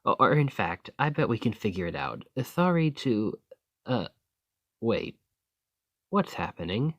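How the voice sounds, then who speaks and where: A man's voice: medium pitch, sing-song, measured pace, no room sound, muffled recording. A man playing a roguish bandit leader in an ASMR roleplay, teasing and grudgingly impressed, with folksy turns of phrase. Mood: sheepish, bluffing. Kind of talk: ASMR roleplay acting, one-sided dialogue.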